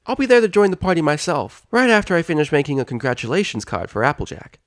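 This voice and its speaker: A man's voice: on the high side, animated, quick pace, voice pushed up, close to an everyday voice. A man voicing a small young male dragon, a casual and slightly cheeky storybook sidekick.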